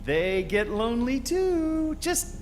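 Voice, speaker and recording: A man's voice: extremely high pitch, big pitch swings, takes it slowly, darkish tone, faint music behind, heavily acted. A man playing a breezy, teasing male spaceship crewmate in a live radio drama, with a jovial way of talking. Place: live convention stage performance.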